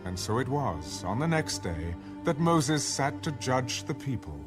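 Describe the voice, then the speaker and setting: A man's voice: mid-range voice, big pitch swings, a little slow. A man reading scripture as the narrator of a dramatized audio Bible. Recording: music bed under the voice. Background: music.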